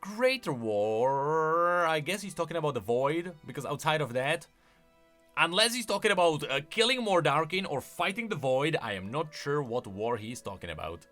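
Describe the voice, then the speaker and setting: A man with a fairly high, bright voice talks casually like a gaming YouTuber. His delivery swings up and down in lively fashion as he explains and gives his opinions. Faint music plays underneath. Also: brisk. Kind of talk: casual commentary on game voice lines.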